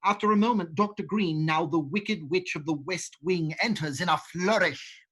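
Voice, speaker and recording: A man reading the stage directions in a livestream script reading, steady and matter-of-fact. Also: on the high side, normal speed, husky.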